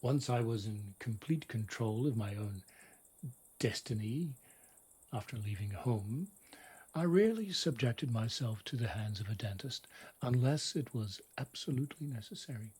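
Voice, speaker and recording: A middle-aged man with a husky voice that often drops to a whisper, telling a scripted bedtime story in a wry, dramatic, deliberate way. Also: mid-range voice, animated, a little mellow.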